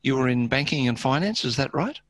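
A man's voice: medium pitch, lively intonation, a little fast, a bit bright, no echo. Dry-sounding male interviewer. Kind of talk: conversational interview.

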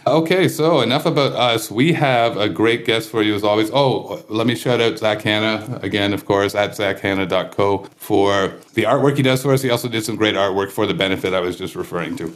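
A man's voice: on the low side, fairly quick, few pauses. A man hosting a podcast, chatting casually and teasing his guest with friendly banter. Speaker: podcast host.

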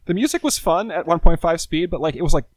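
A man with a fairly high voice in a dry, close recording. He chats casually as a comedy podcast co-host, circling back over his phrases in a halting, playful way. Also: expressive, dry recording.

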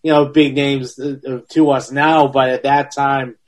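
A man's voice: medium pitch, little pitch movement, measured pace. A man in his early forties casually discussing a film as a podcast panelist, feeling his way through sentences with restarts.

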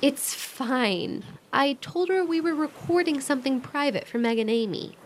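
A young American woman playing a protective, quick-tempered, devoted big sister in a modern audio drama. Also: high-pitched, a little hiss or hum, natural voice. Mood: brisk, protective.

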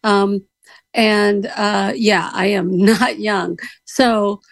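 A woman's voice: mid-range voice, fairly even intonation, drawn-out words, gravelly, dry recording. An older woman with grandchildren, speaking candidly in a casual call-in group discussion and laughing often. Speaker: call-in participant.

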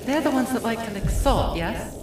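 A woman's voice: fairly high pitch, lively intonation, normal speed, natural voice. A woman playing a cheerful, innocent, politely earnest friend in an audio drama, recorded in an echoey space with noise behind. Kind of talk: fan audio drama dialogue. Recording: echoey, noisy, distant from the mic.

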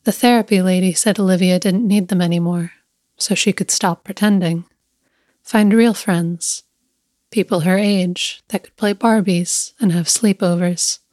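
A woman reading a scripted literary story as narrator, measured and deliberate, pausing between short phrases. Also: lowish voice, steady tone, darkish tone, no echo, studio quality.

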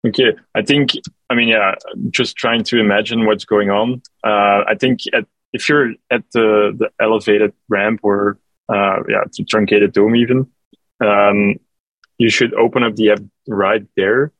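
Male company rep, lower pitch, hesitant explainer, dry sound. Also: fairly even intonation, a bit bright, no echo, rough recording. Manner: hesitant, halting with many fillers and restarts. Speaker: app company representative answering questions. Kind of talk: casual call-in Q&A.

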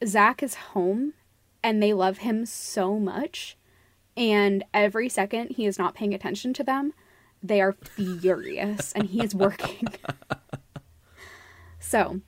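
A woman's voice: mid-range voice, dry recording. A woman co-hosting a podcast in casual, conversational discussion. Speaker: podcast co-host.

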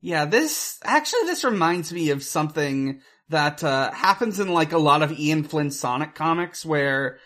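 A podcast co-host casually discussing a film in a halting, hesitant way, with casual swearing. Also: mid-range voice, expressive, a bit bright.

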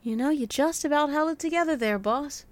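A woman playing a theatrical young man who assists a private eye in an audio drama. The voice sits fairly high and lands every line with wry, teasing sarcasm. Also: brisk, natural voice. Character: wisecracking young male detective's assistant, theatrical. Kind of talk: noir audio drama acting.

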